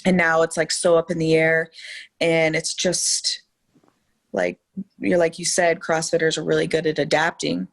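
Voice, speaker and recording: A woman guest on a podcast chat, her voice sitting fairly low for a woman. She talks in a loose, chatty way, filling her sentences with "like". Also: flat delivery, moderate pace, gravelly. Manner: chatty, heavy use of "like". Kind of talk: casual chat.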